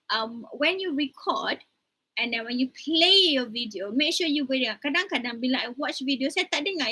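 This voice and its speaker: A woman's voice: sits high, pushed and bright, slightly rough. A young woman lecturer with a Malaysian accent, giving an online class on presentation skills in a chatty, teasing way, mixing English and Malay.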